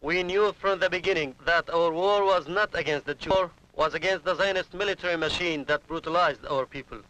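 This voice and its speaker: A man's voice: a little high, brisk, pushed and bright, a little hiss or hum, low-quality recording. A man giving scripted first-person political testimony as narration on a 1970s documentary soundtrack. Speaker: Palestinian militant narrator.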